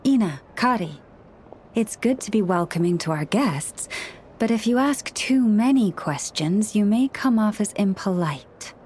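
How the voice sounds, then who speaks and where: A woman voicing a gentle, gracious young priestess in a video game, speaking in a formal, measured, polite way. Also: mid-range voice, lively intonation, slight background noise, close to an everyday voice. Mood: gently admonishing. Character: gentle, gracious young female moon priestess, serenely courteous. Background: background music.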